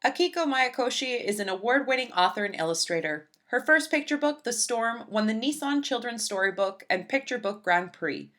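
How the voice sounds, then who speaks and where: A woman reading a children's picture book aloud in an expressive storytelling style. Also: a little high, normal speed, hardly any pauses.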